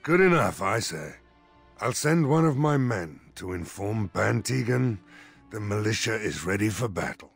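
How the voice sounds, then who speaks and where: A stern militia leader in a fantasy game. He is middle-aged and fairly deep-voiced, dry and grudging, with soft music behind him. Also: animated, unhurried, gravelly, slightly rough, heavily acted. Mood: grudging approval. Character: stern, skeptical village militia leader in armor. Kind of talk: fantasy game dialogue acting.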